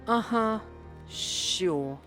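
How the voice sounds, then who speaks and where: A woman's voice: mid-range voice, lively intonation, very slow, fairly bright tone, soft background music. A woman playing a polite, eager, slightly flustered hostess in a comedic audio drama. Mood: hesitant. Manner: earnest, hesitant, searching for words.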